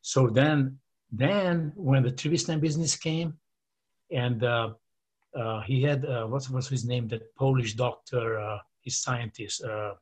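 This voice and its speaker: A man's voice: medium pitch, a little slow, darkish tone, husky. An older man from Romania with a Romanian accent, telling long rambling stories with many fillers and quoting others. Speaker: interview guest. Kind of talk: casual storytelling.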